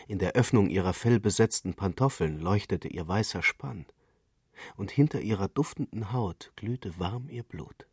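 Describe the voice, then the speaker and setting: Low husky guy reading a story for radio, measured delivery, really dry studio sound. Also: darkish tone, dry recording. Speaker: narrator. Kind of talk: literary scripted narration, radio reading.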